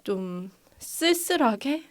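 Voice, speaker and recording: A woman's voice: fairly high pitch, very animated, slow pace. Giggly young woman telling a story. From Incheon, South Korea. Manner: laughs often while telling stories. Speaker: podcast co-host. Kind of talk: casual chat.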